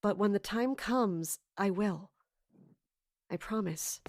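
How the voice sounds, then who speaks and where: An actress playing a weary, secretive woman in charge, measured and firm, in an audio drama. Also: mid-range voice, darkish tone. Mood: evasive, earnest.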